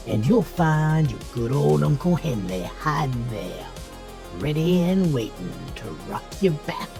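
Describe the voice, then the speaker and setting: A sinister old-fashioned horror-show uncle, gravelly and slow, swooping theatrically up and down. He is mock-folksy and gleefully menacing, slipping toward whispers, with music prominent behind him. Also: mid-range voice, exaggerated voice. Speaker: horror anthology host. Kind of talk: theatrical campy horror host narration.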